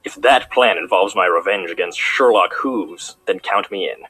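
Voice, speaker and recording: A man's voice: sits low, normal speed, pushed and bright, breathy edge, full character voice, rough recording. A man playing a bitter, vengeful unicorn guard turned outlaw in an audio drama, with dramatic, indignant delivery. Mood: wary, defiant. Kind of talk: audio drama villain acting.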